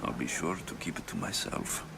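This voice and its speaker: A man's voice: low-pitched, expressive, moderate pace, vocal fry, heavy background noise, exaggerated voice. An actor playing a guarded, wry male mercenary hero in a video game, understated in delivery. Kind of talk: video game cutscene acting. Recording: prominent music underneath. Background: game music.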